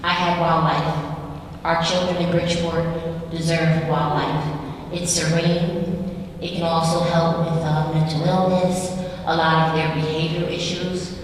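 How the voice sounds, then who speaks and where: A woman's voice: sits low, flat delivery, a little hiss or hum. Earnest woman in an echoey council hall. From Connecticut, USA. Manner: plain, earnest, with pauses and fillers. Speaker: resident speaking at public comment. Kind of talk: public comment at a council meeting. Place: large council chamber. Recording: echoey hall, mic at a distance.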